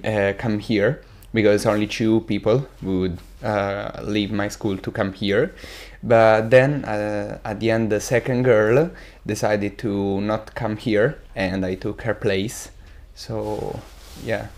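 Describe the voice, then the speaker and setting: A seventeen-year-old man with an Italian accent, answering casually in an interview and hesitantly searching for words. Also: on the low side. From Italy. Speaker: exchange student guest.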